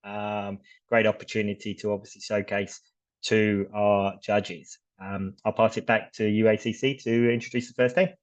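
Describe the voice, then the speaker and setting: A man with a low, flat voice hosts an online competition on a video call. He hesitates often, and the audio is dull and lo-fi. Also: normal speed. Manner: hesitant, lots of uh and um. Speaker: event host, sponsor representative.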